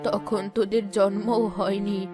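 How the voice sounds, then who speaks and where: A woman playing an old village grandmother in a cartoon, solemn and mysterious as she tells of dark secrets. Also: mid-range voice, flat delivery, unhurried, over music, close to an everyday voice. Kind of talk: dramatic cartoon storytelling.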